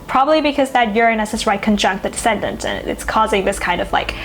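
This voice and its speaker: A woman's voice: medium pitch, quick pace, faint music behind. A woman whose first language is Thai, an astrologer musing in casual talk to camera, full of "you know" and "like".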